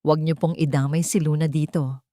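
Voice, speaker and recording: A woman's voice: low-pitched, fairly even intonation, a little fast, dark tone, no room sound, clean studio sound, natural voice. A woman voicing a respectful, frightened man who pleads his innocence in an audio drama. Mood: pleading. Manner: polite, pleading. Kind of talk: dramatized audio story dialogue.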